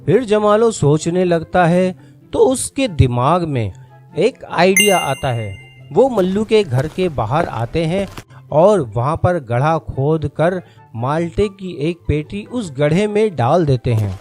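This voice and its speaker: A man's voice: on the high side, very animated, a little mellow, soft background music. A man narrating a scripted children's story as its storyteller.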